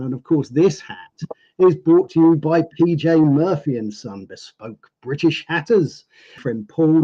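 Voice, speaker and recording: Male host, mellow tone, chatty and upbeat, lo-fi video call sound. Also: a little high. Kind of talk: live online show chat.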